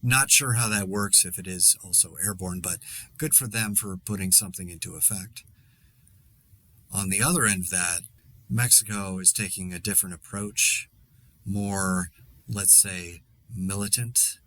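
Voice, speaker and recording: Man acting as exasperated male podcaster, lowish pitch, crisp forceful edge, sarcastic, rambling, conversational audio drama monologue. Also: a little slow, no room sound, exaggerated voice. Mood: subdued, wry. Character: exasperated, sarcastic male podcaster living through a zombie outbreak. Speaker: podcast host.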